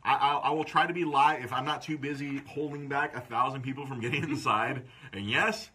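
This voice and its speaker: A man hosting a live podcast stream from a comic store, loud and joking. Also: medium pitch, fairly even intonation, fairly quick, slightly rough. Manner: loud, joking, plugs events. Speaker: podcast host. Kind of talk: casual live-stream chat.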